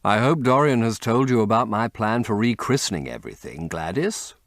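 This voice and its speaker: A man voicing a languid, witty gentleman who drawls his remarks. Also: lowish voice, expressive, a little fast, no room sound, studio quality, lightly acted. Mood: amused.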